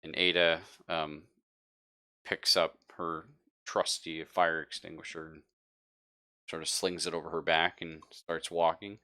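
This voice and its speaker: Bright-voiced man running a tabletop game. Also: very deep voice, flat delivery, measured pace, no room sound. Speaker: game master. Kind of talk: casual tabletop roleplay narration.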